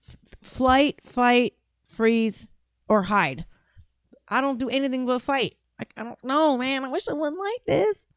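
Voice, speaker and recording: A woman hosting a casual call-in show. Her voice sits fairly high. She talks bluntly, swears freely and laughs often, close to a dry microphone with no room sound. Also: expressive, unhurried, dry recording. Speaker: podcast host taking calls.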